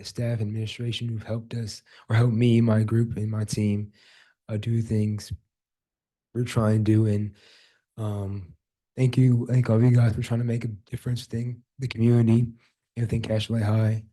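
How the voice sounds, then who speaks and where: A young man with a raspy voice giving a short, hesitant thank-you speech as a student award recipient. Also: fairly low pitch, level tone, normal speed, dark tone. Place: school board meeting room, speaking at a microphone.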